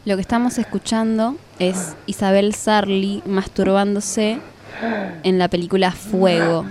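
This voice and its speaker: A woman's voice: medium pitch, fairly even intonation, measured pace, a little mellow, slight background noise. An Argentine woman in her twenties hosting a radio show, talking conversationally with poetic flourishes. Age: 20s. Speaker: radio host. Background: music.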